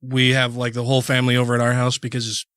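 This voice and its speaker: Podcast host, male, forties, mid-pitched, rambling chatty delivery, dry close mic. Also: monotone, a bit bright, no echo. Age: 40s. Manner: rambling, halting restarts. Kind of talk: casual chat.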